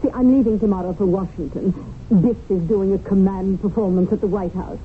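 A woman's voice: medium pitch, steady tone, fairly quick, close to an everyday voice. Woman acting as wealthy widow, breezy name-dropper, affected grand manner, 1970s radio drama, tinny hissy recording. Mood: airy, grand. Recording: tinny, noisy old radio broadcast.

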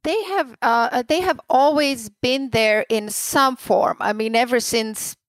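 A woman's voice: a little high, slow pace, dry recording. A woman in her forties from the Czech Republic, a guest expert answering radio interview questions with many fillers and restarts. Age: 40s.

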